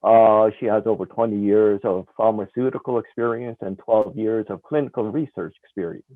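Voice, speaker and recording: A man at the front of a town panel introducing the next guest in a low, dry-sounding voice, halting, slipping in a joke. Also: no room sound, phone-quality sound. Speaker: event moderator introducing speakers. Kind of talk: hosting a community discussion, introductions.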